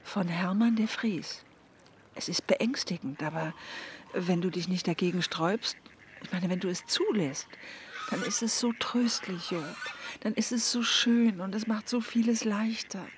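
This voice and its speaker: An actress playing an earnest, intense woman with spiritual convictions in a radio drama, insistent and at times hushed. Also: medium pitch, unhurried, slight background noise, natural voice. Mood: tender, pleading. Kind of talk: naturalistic radio drama dialogue.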